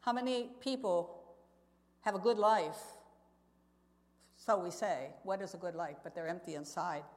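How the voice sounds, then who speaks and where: A woman's voice: on the low side, a little slow. A woman giving an earnest, conversational church testimony talk as a missionary speaker, telling stories and putting questions to her listeners. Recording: echoey hall with long reverb.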